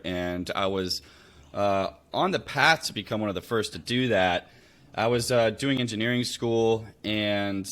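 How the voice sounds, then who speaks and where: Low-voiced American man chatting casually. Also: fairly bright tone. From Louisville, Kentucky, United States. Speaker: podcast guest, real estate investor. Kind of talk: casual conversational storytelling.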